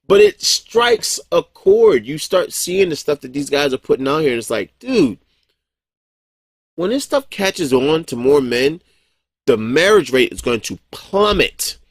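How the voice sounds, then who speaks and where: A man's voice: fairly high pitch, very animated, unhurried, no room sound. Guy in his 40s just rambling on his vlog, swears a lot, keeps restarting, chuckles here and there, dry close sound. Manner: blunt, profane, frequent chuckles and restarts. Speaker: vlogger. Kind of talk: casual rambling monologue.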